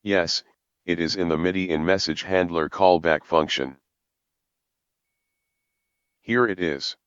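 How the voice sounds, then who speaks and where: A man with a low, resonant voice narrates a tutorial in measured, formal sentences. The recording is dry and close, with a slightly dull top end. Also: fairly bright tone, clear, resonant tone, dry recording. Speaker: programming tutorial presenter.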